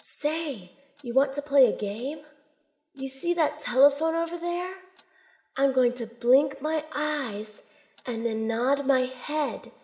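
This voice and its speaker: A woman's voice: fairly high pitch, darkish tone. A woman playing a plucky, kind young shaman heroine who narrates her own story in an audio drama. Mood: gentle, playful. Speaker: narrator and protagonist. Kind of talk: first-person audio drama narration and acting.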